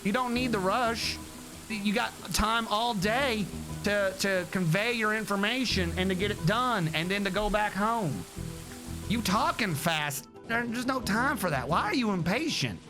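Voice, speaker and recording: A man doing a laid-back Southern rancher in a put-on American Southern drawl, high-pitched and in no hurry at all. He drawls along like he has the whole day to spare, with music playing behind him. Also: lively intonation. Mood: unhurried. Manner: unhurried, lecturing drawl.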